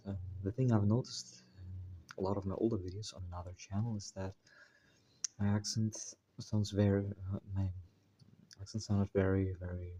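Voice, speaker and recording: Male channel host, deep dark tone, hesitant delivery with many restarts, working at a put-on American accent. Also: a little hiss or hum. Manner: hesitant, full of fillers and self-corrections; deliberately putting on an American accent. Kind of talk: casual spoken introduction to a text study.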